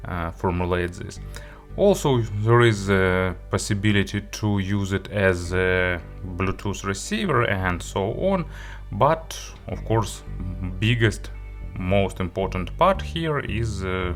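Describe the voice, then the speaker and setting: A man reviewing a product in an unscripted walkthrough, speaking haltingly and stumbling over words, with music playing behind him. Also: on the low side, a bit bright. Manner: halting, hesitant delivery with stumbles.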